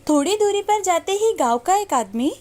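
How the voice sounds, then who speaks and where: A woman's voice: very high voice, expressive, normal speed, darkish tone. A woman narrating a moral story in a scripted storytelling style. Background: music.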